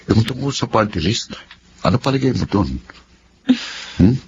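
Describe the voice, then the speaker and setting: An actor playing a principled, gentle male doctor in a Filipino radio drama, speaking in a measured, earnest way. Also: fairly low pitch, expressive, gravelly. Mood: calm, thoughtful. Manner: measured, earnest, polite but firm. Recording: dull lo-fi audio with noise.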